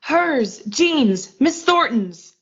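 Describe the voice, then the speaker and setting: Young woman as ambitious rattled career heroine, 1940s radio suspense, highish voice, lo-fi sound. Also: big pitch swings, extremely slow, full character voice. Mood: shaken. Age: young adult.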